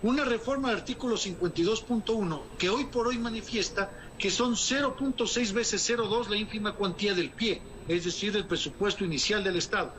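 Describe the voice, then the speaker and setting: A man's voice: high voice, brisk, hardly any pauses, a bit bright, a little hiss or hum, low-quality recording. A man, an economist answering interview questions in a formal, emphatic way, asking himself rhetorical questions. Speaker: economist guest.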